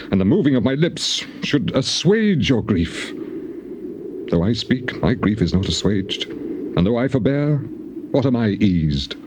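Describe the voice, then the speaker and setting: An actor playing a grieving, embittered man of the Bible in a solemn, declamatory theatrical recitation of old scripture. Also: on the low side. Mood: bitter, weary. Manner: solemn, declamatory, archaic phrasing. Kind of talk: dramatised King James scripture, theatrical recitation. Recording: noisy old cassette tape.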